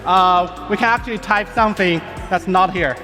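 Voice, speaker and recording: A man presenting a software demo live on stage, playful and joking with the audience. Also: sits high, moderate pace. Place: large event hall on stage. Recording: hall reverb with live crowd. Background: music and audience reactions.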